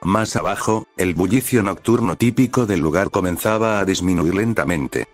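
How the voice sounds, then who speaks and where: Low-voiced man narrating a story. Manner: measured reading in short phrases. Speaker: fanfiction narrator. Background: soft background music.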